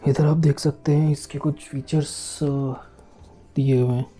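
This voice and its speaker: A man giving casual unboxing commentary as a product vlogger, speaking haltingly in short broken phrases. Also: on the high side, little pitch movement, warm tone. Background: background music.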